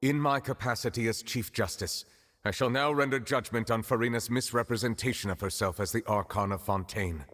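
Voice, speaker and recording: An actor playing a stern, composed chief justice in a video game, speaking in formal, measured pronouncements over music. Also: male voice, mid-range voice, fairly bright tone, lightly acted. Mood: solemn.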